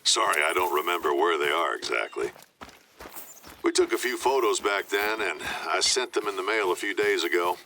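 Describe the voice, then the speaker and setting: Deep, bright-voiced aging outdoorsman. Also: expressive, normal speed, a little hiss or hum, exaggerated voice. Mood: nostalgic, friendly. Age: older. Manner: folksy, reminiscing. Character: aging father and old friend, nostalgic, friendly outdoorsman. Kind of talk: scripted video game dialogue.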